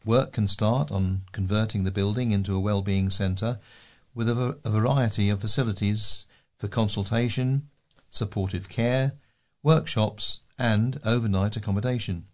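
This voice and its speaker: A man's voice: lowish voice, normal speed, a little mellow. A man with a British accent reads local news articles aloud as a volunteer newsreader.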